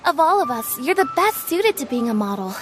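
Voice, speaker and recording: A woman's voice: high-pitched, very animated, a little fast. An actress voicing an earnest young female ship crew member who coaxes her captain, with game music behind. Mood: insistent. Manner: insistent, coaxing.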